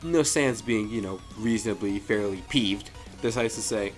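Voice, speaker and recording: A man giving a casual, jokey review commentary as the host, with animated delivery and frequent asides. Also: lowish voice, normal speed. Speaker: host of a fan story review show. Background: music.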